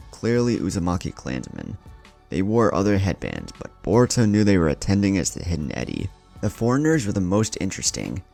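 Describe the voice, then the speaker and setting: Very deep-voiced man narrating a story. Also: creaky, darkish tone. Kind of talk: scripted narration of fan fiction. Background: background music.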